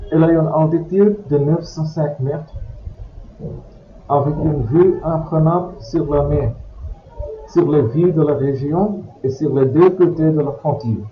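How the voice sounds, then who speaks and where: A man with a somewhat high voice reads lesson texts at a very slow, deliberate pace. He pauses after every word so learners can follow. The recording is echoey and a little dull, with faint noise behind him. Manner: reads each passage, then repeats it word by word. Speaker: language teacher.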